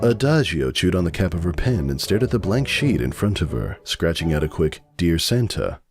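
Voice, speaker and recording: A man's voice: low voice, big pitch swings, brisk, music in the background. A man narrating a story in a scripted, dramatic reading style. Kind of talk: dramatic fan fiction narration.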